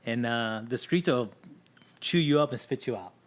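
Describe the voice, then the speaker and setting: A man's voice: mid-range voice, moderate pace. Middle-aged guy, spanish first language, podcast guest, open and chatty, says "right?" a lot. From Southern California. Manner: candid storytelling, frequent "right?" tag questions. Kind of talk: casual podcast conversation.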